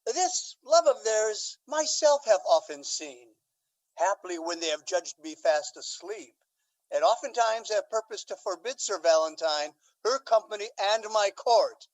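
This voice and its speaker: A courtly older Duke, played by a man, speaks in a halting, stately way. The delivery is formal Shakespearean verse for radio drama. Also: sits high, lively intonation, low-quality recording. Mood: guarded.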